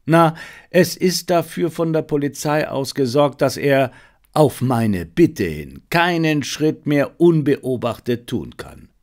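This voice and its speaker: A male audiobook reader voicing a self-assured, brisk gentleman detective with an assured, instructive manner. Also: fairly high pitch, big pitch swings. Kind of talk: audiobook character dialogue.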